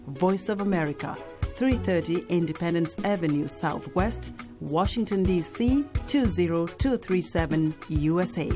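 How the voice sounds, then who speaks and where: A woman announcer reading out the show's contact details in a clear, measured way. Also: on the low side. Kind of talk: scripted announcement.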